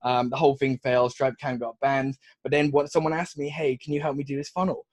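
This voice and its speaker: Man, young adult, mixed Welsh accent, energetic, rambling, mid pitch, dry sound. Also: quick pace, no echo. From Wales. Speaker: guest, funnel agency founder. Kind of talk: casual podcast chat.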